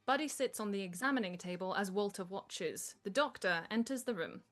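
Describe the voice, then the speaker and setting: A woman's voice: medium pitch, lively intonation, a little fast, a bit bright, faint music behind. A woman narrator reading screenplay stage directions aloud.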